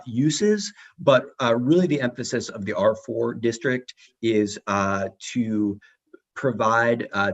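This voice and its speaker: Low-voiced man briefing council over video call. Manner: halting, many uh and um fillers. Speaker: city official briefing council. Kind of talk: formal meeting presentation. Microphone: remote video call. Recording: muffled video call audio.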